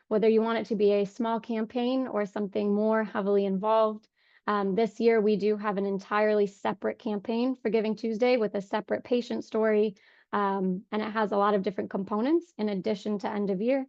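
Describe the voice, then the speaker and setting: A young woman with a voice hoarse from a cold, giving an earnest webinar talk on fundraising with many ums. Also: medium pitch, fairly even intonation, a little fast, darkish tone, no room sound. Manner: frequent ums, earnest and conversational. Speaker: fundraising director. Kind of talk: webinar presentation. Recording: dull lo-fi dry sound.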